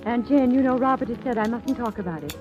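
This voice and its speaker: An actress playing a fragile, fiercely loyal young wife in an old radio drama, earnest and proper. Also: female voice, on the high side, fast, lo-fi sound, lightly acted. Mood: defensive, insistent. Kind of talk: 1950s radio drama acting. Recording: old radio recording, faint music behind.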